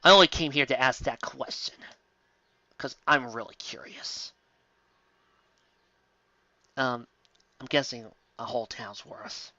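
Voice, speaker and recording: A man giving a sarcastic, theatrical dramatic reading of fan fiction and breaking in with excitable commentary. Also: mid-range voice, takes it slowly, pauses often, dry recording, muffled recording.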